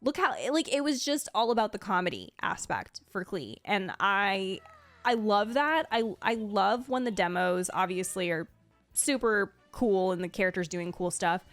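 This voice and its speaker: A woman's voice: medium pitch, big pitch swings, unhurried, dry recording. A woman streamer talks casually and expressively, gushing as she gives her commentary. Her voice is recorded very dry, with music playing behind it. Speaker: streamer ranking game character demos. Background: background music.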